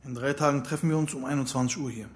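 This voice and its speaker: Fast-talking, commanding male terror plotter actor. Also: medium pitch, fairly even intonation, lightly acted. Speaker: actor in a radio drama. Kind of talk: radio drama dialogue.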